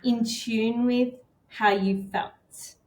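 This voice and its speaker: A woman's voice: fairly high pitch, steady tone, very slow, a little mellow. Woman guest in an echoey room. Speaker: podcast guest. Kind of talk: casual personal storytelling. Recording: roomy, echoing sound.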